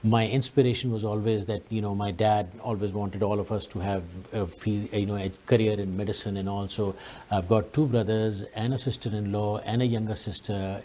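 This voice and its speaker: A man's voice: lowish voice, flat delivery, a little fast, warm tone, slight background noise. A middle-aged man from Pakistan with a Pakistani accent, speaking courteously as an interview guest and telling his own story conversationally.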